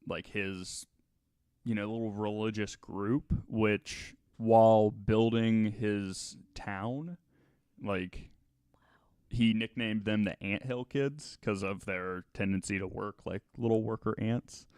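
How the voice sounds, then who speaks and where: Low, smooth-voiced man telling a story. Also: steady tone, slow, a little mellow, no room sound, clean studio sound. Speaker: podcast host telling the story. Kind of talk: casual storytelling with banter.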